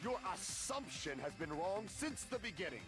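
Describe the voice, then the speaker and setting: A man voicing a pompous, theatrical young self-styled dark lord in an anime video game. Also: high voice, sing-song, normal speed. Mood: defiant. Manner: pompous, archaic, dramatic declarations. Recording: game music playing behind the voice.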